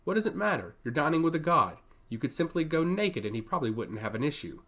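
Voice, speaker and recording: A man's voice: fairly high pitch, very animated, fast talker, a bit bright. A man voicing a blunt, easygoing noble brother in a fantasy audiobook, direct and wry. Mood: dismissive.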